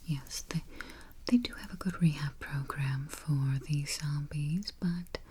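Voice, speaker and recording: A woman whispering softly as a travel agent in an ASMR roleplay, murmuring little mm-hmms between phrases, close to the mic. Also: low voice, takes it slowly, slightly rough. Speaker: ASMR artist playing a spooky travel agent. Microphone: close sensitive mic. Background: keyboard typing and finger taps.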